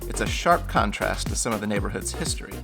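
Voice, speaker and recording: Hoarse man narrating a travel vlog. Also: fairly low pitch, expressive, quick pace, music in the background. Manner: upbeat, enthusiastic touring. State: hoarse, losing his voice. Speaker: travel vlogger co-host.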